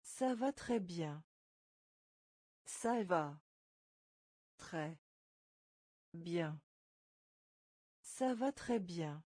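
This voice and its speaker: Woman language teacher, very slow and deliberate, breaks phrases into words then says them whole; dry recording. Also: on the low side, lively intonation, dark tone, dry recording. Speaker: French teacher. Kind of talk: slow phrase-by-phrase pronunciation drill.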